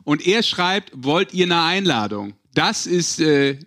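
A man's voice: a little high, very animated, slow, sharp tone. A man co-hosting a sports podcast, bantering casually in a teasing, ironic way. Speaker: podcast host.

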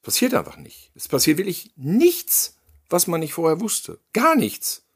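Lively German man reviewing a film. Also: fairly high pitch, a little slow, breathy edge. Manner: lively, animated, addresses listeners directly. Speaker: podcast co-host. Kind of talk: casual film review chat.

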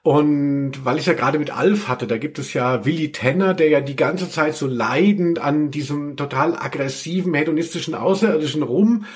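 Male podcast host, voice on the higher side, casual chat style, wry and jokey. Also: moderate pace, few pauses. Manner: wry, joking asides. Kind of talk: casual humorous podcast chat about audio dramas.

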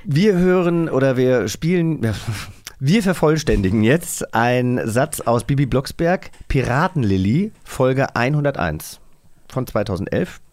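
A man's voice: mid-range voice, expressive, measured pace, darkish tone, dry recording. A man hosting a podcast quiz in a playful, teasing chat style. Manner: joking, teasing. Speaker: podcast host.

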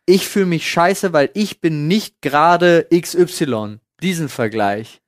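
A man's voice: on the high side, slow pace, dry recording, studio quality. A man chatting casually as a podcast co-host, debating in informal, slangy language. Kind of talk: casual chat.

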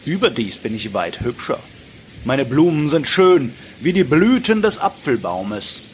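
A man's voice: medium pitch, sing-song, unhurried, slight background noise. A man voicing a vain, haughty buckwheat plant in a fairy-tale audio play, boastful and scornful.

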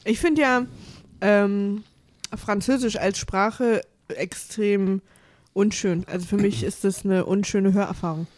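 A woman's voice: medium pitch, fairly even intonation, slow pace, a little mellow, dry recording. A woman chatting on a podcast in a plain, mid-range voice. She says what she thinks, bluntly and matter-of-factly, recorded dry and close. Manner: blunt, matter-of-fact opinions. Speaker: podcast co-host. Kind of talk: casual chat.

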